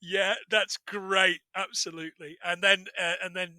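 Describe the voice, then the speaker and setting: A man's voice: high-pitched, level tone, measured pace, sharp tone, dry recording. A man guest-hosting a podcast, chatting casually and self-deprecatingly, often restarting his sentences. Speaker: guest host.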